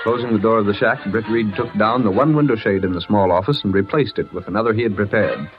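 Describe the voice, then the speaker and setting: Male radio-serial narrator, deep voice, scripted storytelling over loud music, muffled vintage recording. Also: quick pace.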